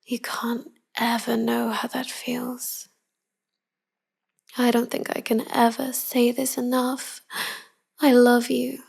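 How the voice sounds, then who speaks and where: A woman playing a tender, melancholy vampire wife in an intimate pillow-talk roleplay, speaking softly and often whispering. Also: fairly high pitch, monotone, heavily acted. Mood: loving, wistful. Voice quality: soft, breathy, often whispering. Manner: slow, hushed, confiding. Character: tender, melancholy vampire wife devoted to her human lover.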